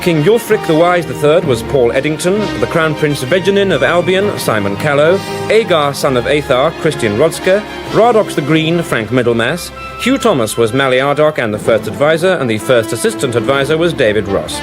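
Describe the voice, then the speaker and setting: A man's voice: fairly high pitch, animated, moderate pace, few pauses, music in the background. A man announcing scripted radio programme credits in a formal style.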